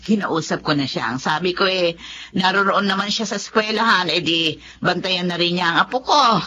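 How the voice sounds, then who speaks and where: An actress playing an older, meddling grandmother in a radio drama, brisk and commanding. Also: on the low side, sharp tone. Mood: bossy. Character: older meddling, bossy mother-in-law and grandmother. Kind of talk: Filipino radio drama acting. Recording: dull radio drama recording with clear noise.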